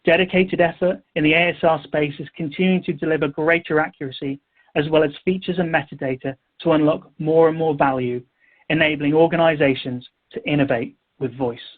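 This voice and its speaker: Male presenter, somewhat high voice, polished scripted delivery, phone-quality audio. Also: steady tone, brisk. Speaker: webinar presenter. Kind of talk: prepared presentation wrap-up. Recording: tinny phone-like line.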